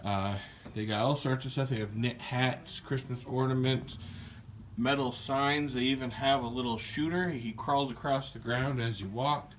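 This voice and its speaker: A man in a small indoor craft store narrates a casual walkthrough, relaxed and rambling with frequent fillers. His pitch sits mid-range for a man. Faint noise runs under the recording. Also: moderate pace. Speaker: podcast host giving a store tour.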